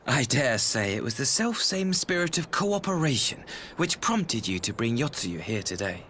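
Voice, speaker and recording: An actor playing a smooth, scheming young ambassador in a video game, mock-courteous and theatrical. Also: male voice, mid-range voice, very animated, normal speed, fairly bright tone, slight background noise. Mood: smug courtesy. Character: smooth, scheming young imperial ambassador, cruelly mocking brother. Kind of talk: video game cutscene acting.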